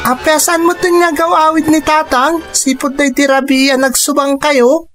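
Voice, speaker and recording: A woman's voice: high-pitched, steady tone, unhurried, over music. A woman voicing a young son in a radio drama, anxiously questioning his mother about his father.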